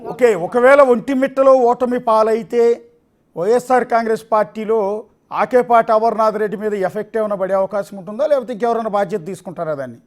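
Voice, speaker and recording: A man anchoring live election news, delivering commentary deliberately, phrase by phrase. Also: sits high.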